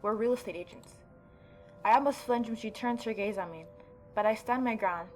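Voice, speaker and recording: A woman's voice: a little high, normal speed. A woman reads a visual novel aloud as a let's play host. She slips between narration and informal, reactive commentary. Light music plays underneath. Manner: chatty, reactive, informal asides.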